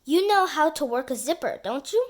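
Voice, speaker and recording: A bossy, practical storybook girl, acted out by a woman reader. She speaks high and briskly, giving firm orders with lively swings in pitch. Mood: matter-of-fact.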